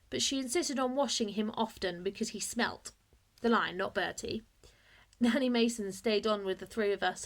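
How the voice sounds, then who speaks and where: A woman reading a children's storybook aloud as a first-person narrator, in a measured, expressive way. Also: mid-range voice, slight background noise.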